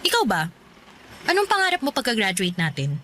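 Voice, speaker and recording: Radio drama casting: ambitious, cheerful college sweetheart, Filipino accent, bright and emphatic delivery. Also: female voice, a little high, huge pitch swings, a little fast, slight background noise. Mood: bubbly, determined. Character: cheerful, career-driven young female student, a sweetheart. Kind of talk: Tagalog radio drama acting.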